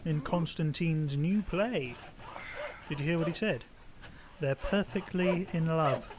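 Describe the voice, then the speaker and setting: Earnest lovesick young man, noisy recording. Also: a little high. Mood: lovesick, self-pitying. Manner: talkative, halting, plaintive. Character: earnest, self-pitying poor young man, hopelessly lovesick. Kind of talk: naturalistic audio drama acting.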